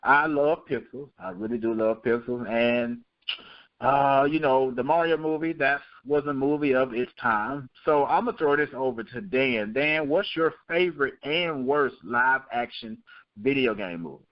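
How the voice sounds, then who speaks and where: A man's voice: medium pitch, normal speed, no echo, lo-fi sound. A man hosting a casual panel show, chatty and familiar with his guests. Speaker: show host.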